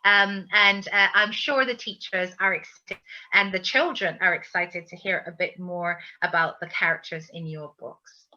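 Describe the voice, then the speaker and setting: A woman hosting an interview, effusive in her praise and halting in her phrasing, on dull lo-fi audio. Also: fairly low pitch, steady tone, bright, forceful tone. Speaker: host interviewer.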